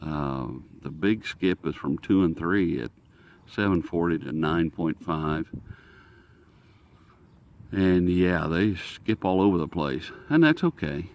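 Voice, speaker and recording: An American man with a low-pitched voice talks through a product review in a casual, unscripted way. He is halting, often thinking aloud as he works through the details. He is speaking outdoors. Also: expressive. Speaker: host of a radio review video.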